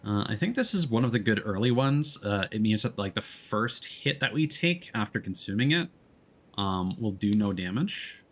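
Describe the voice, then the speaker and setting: Low-voiced man streaming a video game. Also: animated. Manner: halting, explanatory. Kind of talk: casual let's-play stream commentary. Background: background music.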